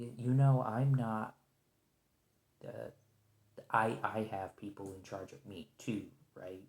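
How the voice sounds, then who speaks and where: Man acting a halting, nervous agent, roomy echo. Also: on the low side. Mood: apologetic, explaining. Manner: hesitant, halting, stammering, soft-spoken. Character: nervous, conciliatory special agent trapped by an anomalous creature. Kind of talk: ASMR roleplay, one-sided point-of-view dialogue.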